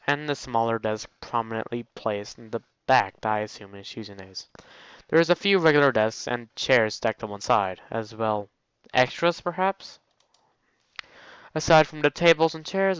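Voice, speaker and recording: A man's voice: mid-range voice, a bit bright, smooth, no room sound, highs cut off. A man reading a story game aloud in a casual let's play style, stumbling over words and breaking off into asides.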